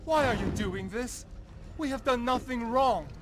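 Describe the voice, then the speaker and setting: A man's voice: extremely high pitch, unhurried, over music. A man playing a terrified townsperson held captive and pleading in a video game cutscene. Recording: noisy game scene.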